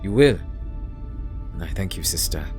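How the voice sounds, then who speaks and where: Man acting a slow, anxious young paladin pilot. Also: low voice, lively intonation, a little mellow, faint music behind. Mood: earnest, grateful. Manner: hesitant, stammering, formal wording. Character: anxious, earnest young paladin pilot, proud but insecure. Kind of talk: audio roleplay acting.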